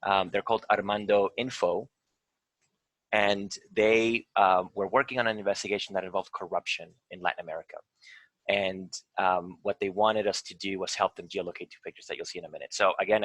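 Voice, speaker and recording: A man's voice: low-pitched, a bit bright, dry recording, poor audio. A man from Venezuela, an investigator, leading a live online tutorial over a video call, talking with many ums and uhs.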